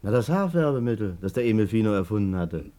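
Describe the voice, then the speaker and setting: An actor playing a smooth-talking, boastful ladies' man in a 1950s German radio play. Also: lowish voice, very animated, fast, dark tone. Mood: boastful recounting. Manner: colloquial, insinuating, emphatic storyteller.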